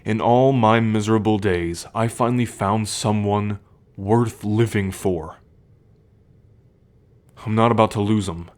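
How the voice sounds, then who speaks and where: A young man playing a troubled, protective male werewolf in an ASMR roleplay, speaking in a measured, halting, confiding way. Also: lowish voice, a little mellow, lightly acted. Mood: earnest.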